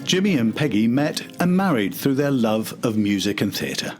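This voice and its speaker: A man's voice: medium pitch, very animated, normal speed, over loud music. An older man reading a scripted documentary narration as the host and storyteller.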